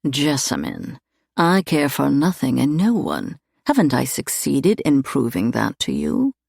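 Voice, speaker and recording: Woman voicing a suave, sardonic gentleman thief, low-pitched. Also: wildly swooping pitch, unhurried, gravelly, breathy edge, dry recording, studio quality, exaggerated voice. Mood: coolly dismissive. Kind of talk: audiobook character dialogue.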